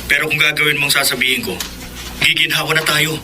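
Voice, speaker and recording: A man's voice: fairly high pitch, little pitch movement, quick pace, lightly acted. A man acting a cold, manipulative young boyfriend in a radio drama, calculating, with a Filipino accent. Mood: persuasive. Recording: clearly noisy radio drama audio.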